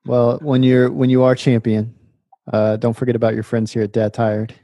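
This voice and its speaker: A man's voice: mid-range voice, level tone, moderate pace, dark tone, dry recording. A man hosting a podcast, friendly and affirming, chatting casually with a guest. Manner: friendly, affirming, laughs often. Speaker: podcast host. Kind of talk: casual interview chat.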